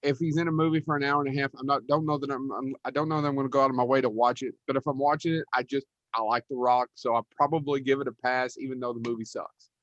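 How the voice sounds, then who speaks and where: A man's voice: mid-range voice, fairly even intonation, fast, fairly bright tone, no room sound, lo-fi sound. A man chatting casually and bluntly as a podcast co-host, with some mild swearing. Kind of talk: casual chat.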